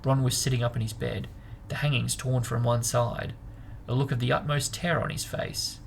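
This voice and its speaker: Man with a creaky, gravelly voice. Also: mid-range voice, fairly even intonation, normal speed. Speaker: host and reader. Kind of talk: storybook narration and casual book chat. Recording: noticeable background noise.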